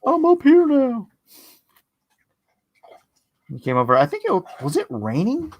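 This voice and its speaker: A man from California, raised in San Jose, chatting casually with dry jokes and halting, reflective stories. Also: extremely high pitch, huge pitch swings, no echo, dull audio. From born in Hawaii, raised in San Jose. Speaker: co-host, friend. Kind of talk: casual chat.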